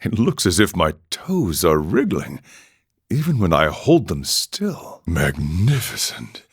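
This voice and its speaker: An actor playing a serene, eccentric ascetic monk in a video game, speaking in a measured, philosophical way with formal phrasing. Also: male voice, sits low, wildly swooping pitch, slightly rough, full character voice. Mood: wry, musing. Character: serene, eccentric ascetic drug-taking monk who relishes suffering. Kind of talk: scripted game character dialogue.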